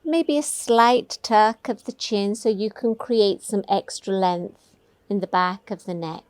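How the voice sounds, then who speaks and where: A woman teaching a guided meditation, giving gentle, soothing, unhurried instructions. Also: medium pitch, dry recording.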